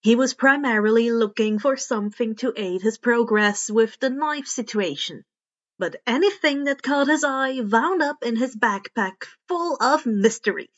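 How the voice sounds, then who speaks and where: Female fiction narrator, high voice, expressive scripted read, slightly dull lo-fi recording. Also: measured pace. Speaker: podfic narrator reading fan fiction.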